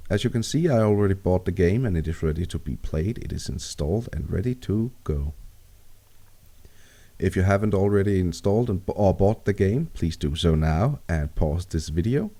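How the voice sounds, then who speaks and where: Man, Danish accent, low mellow voice, calm tutorial explaining. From Denmark. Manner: calm, steady step-by-step explaining. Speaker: tutorial maker. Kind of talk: screen-recorded software tutorial.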